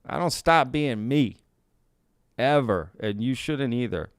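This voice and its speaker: A man's voice: medium pitch, lively intonation, slow, smooth, no room sound, clean studio sound. A male live stream host in a casual Q&A, talking in a laid-back, folksy way, often saying y'all and reading out viewer comments. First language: bilingual Spanish and English.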